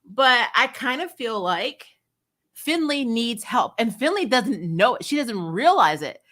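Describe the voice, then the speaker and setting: A woman's voice: on the high side, sing-song, measured pace, pushed and bright. A woman hosting a casual, opinionated TV review, speaking candidly and emphatically in a conversational way with some mild swearing. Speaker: host of a TV show review channel. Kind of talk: casual, opinionated episode commentary.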